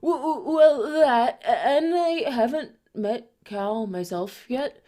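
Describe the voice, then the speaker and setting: A woman's voice: on the high side, animated, takes it slowly, warm tone. A woman voicing an eager, helpful young boy creature with an earnest manner in a cartoon audio drama. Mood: bashful, flustered. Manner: earnest, stammers when flustered. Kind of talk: animated fan audio drama.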